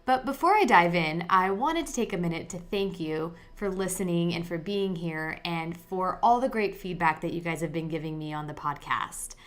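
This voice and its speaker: A woman's voice: on the low side, lively intonation, normal speed, hardly any pauses, fairly bright tone. A young adult woman hosting a podcast, explaining legal topics step by step in a friendly, direct way with a soothing voice. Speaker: podcast host, lawyer.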